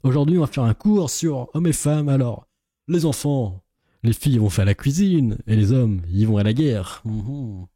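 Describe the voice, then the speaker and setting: A man's voice: mid-range voice, lively intonation, moderate pace, dark tone, dry recording, studio quality. A man giving casual reaction commentary as a YouTuber, in a sarcastic, sceptical and rambling way.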